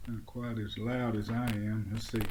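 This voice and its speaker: A man's voice: on the low side, little pitch movement, moderate pace, a little mellow, slight background noise. A man hosting a remote interview, asking questions in an easygoing, chatty way. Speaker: podcast host. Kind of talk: casual interview. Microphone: headphones on a remote call.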